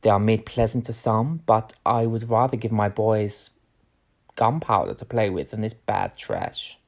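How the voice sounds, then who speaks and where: A man's voice: on the low side, lively intonation, vocal fry, darkish tone. Grave, moral male professor. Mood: grave disapproval. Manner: formal, measured, disapproving. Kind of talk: period audio drama acting.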